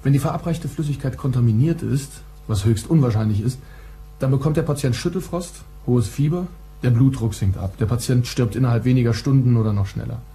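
Dry matter-of-fact guy acted in a detective radio play, really dark soft-edged voice, bit of hum. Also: medium pitch, fairly quick, close to an everyday voice. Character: dry, knowledgeable male confidant of a detective. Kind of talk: radio crime drama acting.

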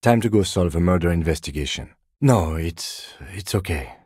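Composed game detective, really low husky voice, dry wit, super precise. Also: male voice, no room sound. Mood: dry, reserved. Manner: measured, deadpan, precise. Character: composed, dry-witted, by-the-book male police detective. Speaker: police detective. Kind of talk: video game character dialogue.

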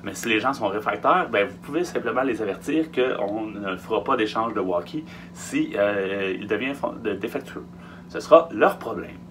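A man in his thirties with a Quebec French accent gives informal, emphatic instructions with hesitant pauses, speaking through a face mask in a small truck interior. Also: lowish voice, lively intonation. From Quebec, Canada. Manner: emphatic, repeats key words, hesitant pauses. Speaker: film production logistics technician giving tips. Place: small wooden-lined storage truck interior. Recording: faint hum and background noise.

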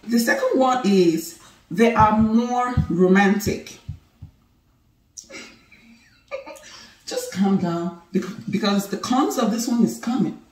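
A woman's voice: medium pitch, takes it slowly, pauses often. A woman in her thirties with a Nigerian accent, Igbo her first language, chatting playfully to camera as a couple's channel co-host. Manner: animated, laughing, playful and teasing. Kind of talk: casual chat to camera. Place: living room, indoors. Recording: roomy, somewhat distant sound.

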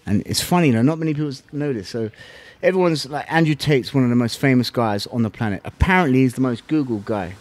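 Fast-talking southern English man, slangy and sweary. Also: medium pitch, no room sound. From England. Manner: animated, slangy, sweary, acting out remembered exchanges. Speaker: interview guest, former kickboxing champion. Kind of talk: casual storytelling interview.

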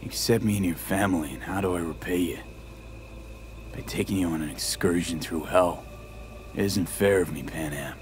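An actor playing a loyal, caring young mercenary in a video game, speaking in a low-key, sincere way. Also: male voice, normal speed, soft background music, close to an everyday voice. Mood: apologetic, tender. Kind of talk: video game cutscene dialogue.

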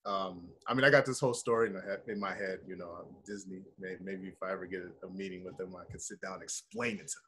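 An American man in his thirties chatting casually on a video call, telling stories in a slangy, rambling, animated way. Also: sits low, quick pace, hardly any pauses. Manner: animated, slangy, rambling with frequent "you know" and "like". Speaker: actor being interviewed. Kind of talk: casual chat, storytelling. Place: indoor room, video call.

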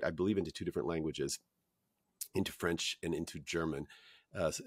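Deep-voiced older man hosting a podcast. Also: no echo, studio quality. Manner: chatty, rambling asides, many fillers. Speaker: podcast host. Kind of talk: conversational interview. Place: hotel room. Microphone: headset mic on video call.

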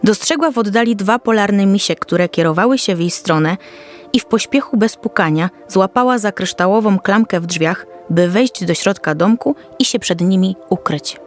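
A woman's voice: mid-range voice, moderate pace. Woman narrating a children's story over music. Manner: storytelling, descriptive, carefully read. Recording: soft music under the voice.

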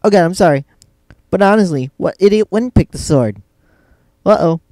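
Flippant teen game hero, played by a man: high, mellow, panicky, comic parody. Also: lively intonation, moderate pace, no room sound.

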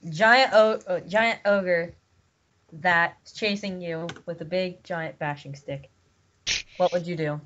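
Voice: medium pitch, steady tone, takes it slowly, fairly bright tone. A young gamer chatting casually as a co-player in a gaming video. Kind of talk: casual chat while gaming. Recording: dull, clearly noisy mic with clicks.

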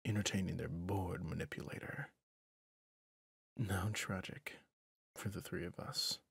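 Casting: male actor as a resigned, tender villain for an ASMR fantasy drama; low, gravelly, breathy near-whisper, brooding and confiding. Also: steady tone, no echo, studio quality, exaggerated voice. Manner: slow, brooding, confiding with pauses. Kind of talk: intimate ASMR roleplay drama.

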